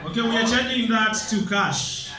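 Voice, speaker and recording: A man's voice: very high voice, normal speed. A man from Uganda, presenting a motivational seminar and drilling the audience with call-and-response questions. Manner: energetic, rousing, drills the crowd with repeated questions. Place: large hall with audience. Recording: echoey hall, distant mic, crowd noise.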